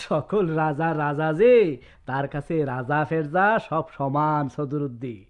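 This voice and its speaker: An actor playing a carefree, philosophical village poet master with an in a radio drama. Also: male voice, a little high, lively intonation, slow pace.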